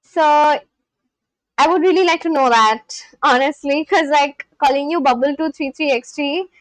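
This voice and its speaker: A woman's voice: high-pitched, normal speed, dry recording, rough recording. A young woman from India with an Indian English accent, chatting casually on a live stream, rambling with lots of fillers and restarts. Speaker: livestream host.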